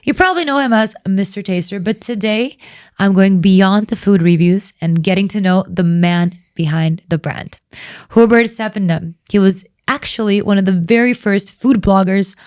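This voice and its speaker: A woman hosting a podcast who talks in a chatty, upbeat way. She introduces her guest warmly and keeps the talk moving with light teasing, in a voice of ordinary middle pitch. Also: moderate pace. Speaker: podcast host. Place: Dubai.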